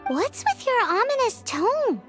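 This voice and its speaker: An actress voicing a tiny, childlike girl fairy companion in a video game, cheeky and excitable. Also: measured pace, heavily acted. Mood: cheeky, curious. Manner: squeaky, cheeky, speaks in third person. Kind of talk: video game character dialogue. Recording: loud game music behind.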